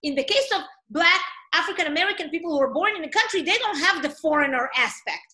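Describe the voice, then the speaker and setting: Woman, Israeli accent, non-native English, forceful bright tone, enthusiastic host, casual online conversation. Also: very high-pitched, lively intonation, fast talker. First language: not English. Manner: enthusiastic, encouraging, emphatic with repetition. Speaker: host of a live online talk session. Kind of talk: casual interview chat. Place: online video call.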